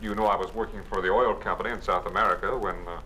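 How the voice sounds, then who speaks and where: A man's voice: on the low side, fairly even intonation, very fast, bright, forceful tone, a little hiss or hum, poor audio. An actor playing a genial, easygoing man back from abroad in a 1950s radio drama, often chuckling. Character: friendly returning man, curious and genial.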